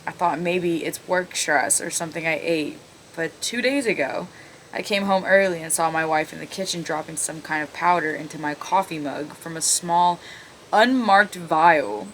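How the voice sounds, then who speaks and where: A woman's voice: sits low, normal speed, rough recording. A woman hosting a casual video, reading online stories aloud and cutting in with blunt, sarcastic, sweary commentary. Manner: blunt, profane, sarcastic interjections. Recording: clearly audible background noise.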